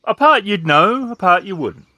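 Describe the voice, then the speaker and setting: A man narrating a tabletop roleplaying game as game master, building scenes in deliberate, suspenseful phrases. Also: sits high, lively intonation, bright, forceful tone, dry recording.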